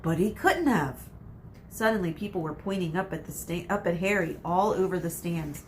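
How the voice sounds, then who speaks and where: A woman narrating a children's story expressively, with dramatic emphasis and pauses for suspense. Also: on the low side. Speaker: read-aloud storyteller, teacher. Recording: faint background noise.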